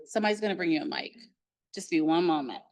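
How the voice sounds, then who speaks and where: A woman's voice: lowish voice, fast. A woman moderating a health talk, speaking earnestly and encouragingly as she thanks the doctor and opens the floor to questions.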